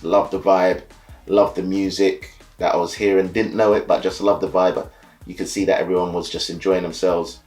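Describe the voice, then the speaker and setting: A man born and raised in the UK who leads a carnival band, explaining carnival in a relaxed, easygoing way, often saying "you know" and "so yeah". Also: sits low, normal speed, faint music behind. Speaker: carnival band leader and soca DJ. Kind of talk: casual explainer talk to camera.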